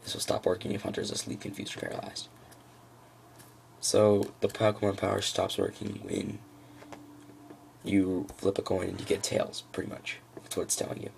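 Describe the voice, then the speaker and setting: Very low, gravelly man talking through cards. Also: monotone, moderate pace, pauses often, a little mellow, slight background noise. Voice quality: froggy, gravelly. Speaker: trading card hobbyist presenting a deck. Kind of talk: casual unscripted card-by-card walkthrough.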